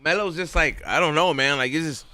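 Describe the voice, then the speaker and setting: A man's voice: on the high side, brisk, pushed and bright, no echo. A man co-hosting a podcast, chatting in a playful, teasing, slangy way. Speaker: podcast co-host. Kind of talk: casual podcast chat.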